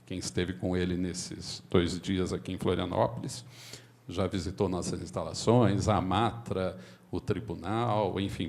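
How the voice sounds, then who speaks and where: A Brazilian man with a fairly low voice hosts the session. He introduces the guest speaker in a friendly yet formal tone, reading out the guest's credentials. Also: gravelly, a little mellow. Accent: Brazilian Portuguese. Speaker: event host introducing a speaker.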